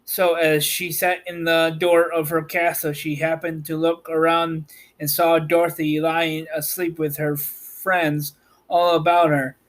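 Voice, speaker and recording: A man narrating a storybook aloud as host, in a measured, slightly halting read. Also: a little high, flat delivery. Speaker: host reading an audiobook chapter.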